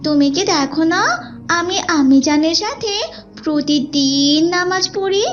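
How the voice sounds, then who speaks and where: Woman as a very high-pitched, scolding young girl. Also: a little slow. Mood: admonishing. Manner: nagging, admonishing. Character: dutiful young big sister, pious, insistent, scolding. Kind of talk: Islamic cartoon dialogue. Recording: dull lo-fi audio with music behind.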